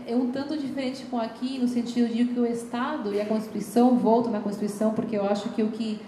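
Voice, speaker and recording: A young woman speaks as a seminar guest, her showing as she talks in an earnest, searching way full of restarts. Her voice sits a little high and mellow. The sound comes from an echoey lecture room with a faint hiss behind it. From Chile. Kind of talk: lecture talk.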